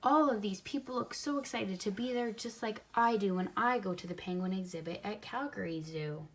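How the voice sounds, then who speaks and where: A woman reads a picture book aloud for young children, expressively, pausing to add simple comments of her own. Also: medium pitch, slightly rough. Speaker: storybook reader.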